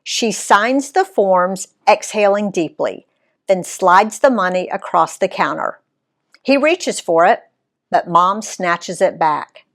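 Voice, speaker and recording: A woman reading her own travel story aloud in an expressive read-aloud style, giving the quoted people voices of their own. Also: medium pitch. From Alabama, USA. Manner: unhurried, lively reading that voices the quoted characters.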